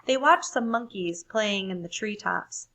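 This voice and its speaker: A woman reading a story aloud for children, expressive and deliberate, pausing to ask listeners questions. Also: mid-range voice. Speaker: storybook reader.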